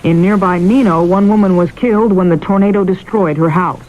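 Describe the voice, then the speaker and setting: A woman's voice: on the low side, a little fast, dull audio. A woman with an American accent anchoring a vintage radio newscast in a scripted news-reading style. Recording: vintage radio broadcast, faint noise.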